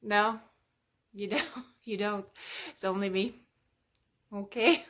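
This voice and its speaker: A woman's voice: medium pitch, slow pace, fairly bright tone. A woman hosting a fitness vlog, chatting casually and playfully to viewers with self-deprecating humour.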